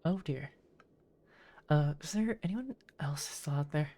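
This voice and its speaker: A man's voice: a little high, expressive, unhurried, dark tone. A man giving casual gameplay commentary as a game streamer.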